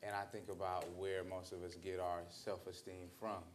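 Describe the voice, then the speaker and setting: Low-voiced American man, deliberate speaker. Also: level tone, a little hiss or hum. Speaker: roundtable participant. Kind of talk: reflective social commentary. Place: indoor roundtable discussion at a museum.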